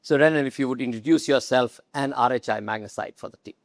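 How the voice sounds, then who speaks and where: A man's voice: medium pitch, fast, dry recording, studio quality. A man moderating a conference panel, welcoming the audience and introducing the guests. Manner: friendly, welcoming. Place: conference stage.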